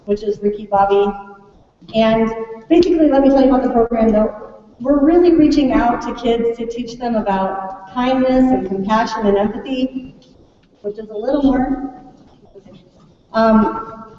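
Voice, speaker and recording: A woman's voice: a little high, mellow. An American woman, a teacher, giving an informal, chatty welcome speech and prompting her listeners with questions. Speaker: third grade teacher presenting a class project. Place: large echoey room at a school event. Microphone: distant microphone. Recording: echoey hall, distant mic, muffled, faint noise.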